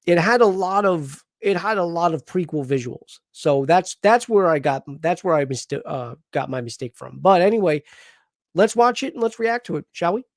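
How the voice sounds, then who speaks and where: Man, host, casual reaction chat, rambling, fairly high voice, dry close sound. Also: normal speed, dry recording. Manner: rambling, restarts often, animated.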